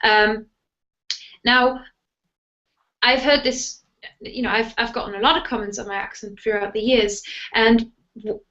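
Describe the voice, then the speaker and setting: A woman's voice: a little high, steady tone, unhurried, long pauses, sharp tone, muffled recording. A woman with a German accent, a native German speaker, hosting a live interview and asking musing questions with many fillers. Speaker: host.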